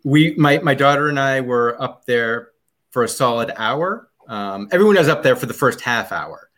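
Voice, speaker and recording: Man, podcast guest, casual chat, rambling, mid pitch, ums and restarts. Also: lively intonation, normal speed, a bit bright.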